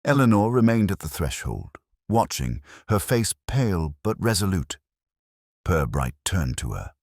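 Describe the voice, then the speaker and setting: A man narrates a detective story in an extremely low voice. The delivery is scripted and literary, with lively, swinging intonation. The sound is dry, with very little room in it. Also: a little slow, no echo.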